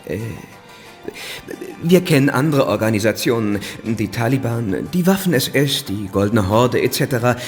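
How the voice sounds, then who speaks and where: A man plays a haughty agency clerk in a radio play. He speaks at an ordinary male pitch, with pompous, bureaucratic formality and fussy hesitations. There is faint music behind. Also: lively intonation, normal speed, lightly acted. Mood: officious. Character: snooty, condescending male travel agency clerk. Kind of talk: radio drama dialogue.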